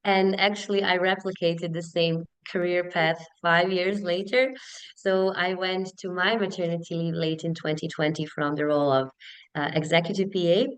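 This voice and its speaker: Female HR professional, 30s, Bosnian accent. Smooth, slightly low voice, conversational and earnest in a webinar interview. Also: fairly even intonation, moderate pace, low-quality recording. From Bosnia and Herzegovina. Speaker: HR business partner, webinar guest.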